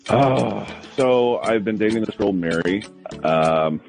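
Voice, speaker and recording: A man calling a radio show, explaining himself casually in a halting, hesitant way with repeated words. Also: fairly low pitch, animated, creaky, darkish tone, faint music behind.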